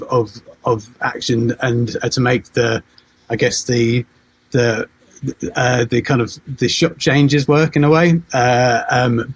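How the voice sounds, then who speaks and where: A man explaining creative work in a casual interview, with halting, repetitive phrasing. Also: mid-range voice, slightly rough, dry recording. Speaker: audio production creative. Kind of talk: casual panel interview talk.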